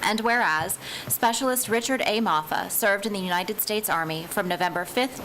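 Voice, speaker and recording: A woman county commissioner reading a formal proclamation in a measured, steady way. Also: medium pitch, a little hiss or hum, low-quality recording. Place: county meeting chamber.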